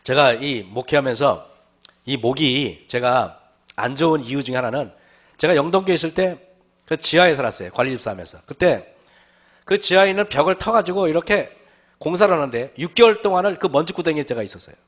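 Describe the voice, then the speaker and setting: A man preaching to his congregation with a voice that sits noticeably high for a man. His throat is hoarse, and he clears it often. He speaks earnestly, repeatedly turning to his listeners with rhetorical questions. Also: animated, brisk, fairly bright tone. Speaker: pastor. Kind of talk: sermon to a congregation.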